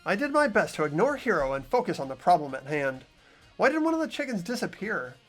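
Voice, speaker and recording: A man's voice: sits high, big pitch swings, fairly quick, a bit bright, faint music behind, heavily acted. A man voicing an earnest, puzzled teenage boy who thinks aloud while investigating in a visual novel game. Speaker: amateur voice actor in a fan playthrough. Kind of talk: fan-dubbed visual novel acting and narration.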